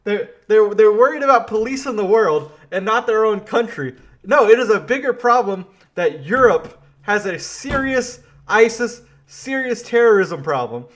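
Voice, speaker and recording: A man's voice: sits high, moderate pace, few pauses. Man ranting about the news. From Louisiana. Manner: animated, laughing, restarts. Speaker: political commentary host. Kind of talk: casual political commentary.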